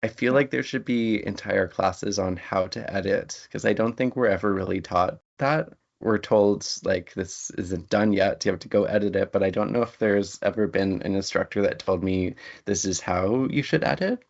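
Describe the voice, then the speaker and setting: A man speaking reflectively and in a measured way as a writer being interviewed. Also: lowish voice, vocal fry. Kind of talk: thoughtful conversational interview. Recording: dull, lo-fi audio.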